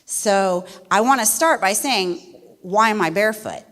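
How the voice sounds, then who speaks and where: A middle-aged woman from West Virginia, a missionary speaking to a church congregation in a folksy, animated way. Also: medium pitch, a little slow. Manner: folksy and animated, laughs easily. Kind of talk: church testimony talk. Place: church sanctuary. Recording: long reverb of a church hall.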